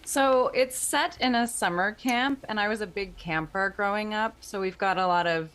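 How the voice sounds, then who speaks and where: A woman's voice: medium pitch, moderate pace, a bit bright. A woman in her thirties speaking casually as an interview guest, explaining her creative work in a conversational way.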